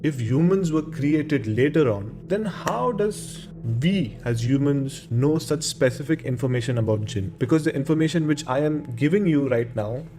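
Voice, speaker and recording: A man's voice: on the high side, expressive, moderate pace, few pauses, warm tone, soft background music. A man with an Indian accent informally hosting a horror show and telling stories, often repeating words and phrases. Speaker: host of a horror radio show.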